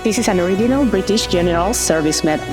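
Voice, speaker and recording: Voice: mid-range voice, lively intonation, fast. Formal announcer over music. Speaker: award ceremony announcer. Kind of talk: scripted announcement.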